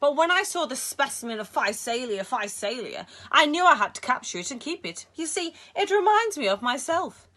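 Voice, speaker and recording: A woman's voice: sits high, sing-song, normal speed, hardly any pauses, pushed and bright, pitched above the performer's own voice, full character voice. A woman voicing a short, cold, menacing male tycoon with an Egyptian accent, speaking in a silky, self-satisfied way. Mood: smooth menace.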